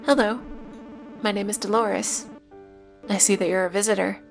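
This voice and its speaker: A woman's voice: mid-range voice, fairly even intonation, normal speed, creaky, soft background music, close to an everyday voice. A woman voicing a devout female cult leader in a horror web series, instructing in stilted, formal, solemn phrases. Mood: solemn, welcoming.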